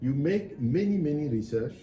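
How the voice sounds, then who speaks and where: Soft-spoken deep-toned interviewer in echoey room. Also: male voice, animated, faint music behind. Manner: soft-spoken, halting, frequent murmured agreement. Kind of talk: interview questions and backchannel.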